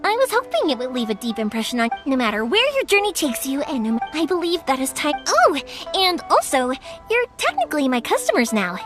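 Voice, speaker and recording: A woman's voice: high voice, brisk. An actress playing a cheerful, sentimental young woman who makes fireworks, speaking earnestly in an anime game style. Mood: excited, bubbly. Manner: earnest, animated, hesitant when sincere. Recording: game audio with music behind.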